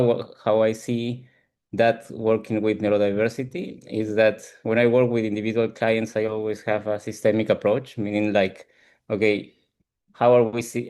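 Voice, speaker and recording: Male coach as interview guest, Peruvian Spanish accent, lowish voice, thinking aloud in halting, explanatory answers. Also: a little mellow. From Peru. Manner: halting, reflective, often ends with "right?". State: frequent throat clearing. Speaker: ADHD coach and support group founder, interview guest.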